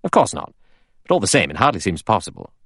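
A man voicing a younger male character in an audiobook, cynical and irritable, with a quick, offhand, argumentative delivery. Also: low-pitched, very animated, dry recording, studio quality.

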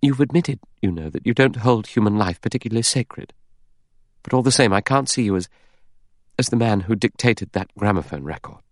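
A man voicing a sharp, suspicious young woman in an audiobook, speaking briskly and emphatically. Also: lowish voice, animated, no echo, clean studio sound, voice pushed up, close to an everyday voice. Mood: questioning, thoughtful. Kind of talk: audiobook character dialogue.

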